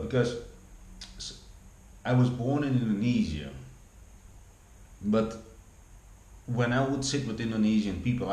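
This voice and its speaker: A Dutch-accented man in his forties with a fairly low voice, talking off the cuff for his vlog. He wanders, restarts sentences and repeats words, in a room with a noticeable echo. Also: a little mellow. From Netherlands. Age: 40s. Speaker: vlogger. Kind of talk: casual rambling monologue. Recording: echoey room with faint background hum.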